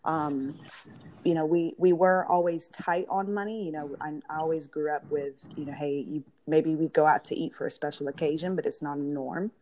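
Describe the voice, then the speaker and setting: A woman in her thirties who grew up in Georgia, telling her family story as an interview guest, pausing with ums and restarting her sentences. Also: low voice, steady tone, dark tone. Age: 30s. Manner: thoughtful, many ums and restarts. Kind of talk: casual interview, personal story. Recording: noisy recording.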